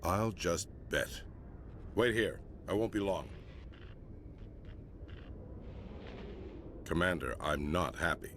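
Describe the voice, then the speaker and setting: An actor playing a gruff, disapproving middle-aged admiral in a video game, blunt and stern in the way he talks. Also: low-pitched, animated, measured pace, a bit bright, a little hiss or hum. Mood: irritated. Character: gruff, disapproving senior space navy admiral inspecting a warship.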